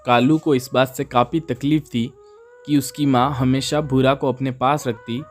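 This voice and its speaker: A man's voice: mid-range voice, darkish tone. A man narrating a scripted children's moral story. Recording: music under the voice. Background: background music.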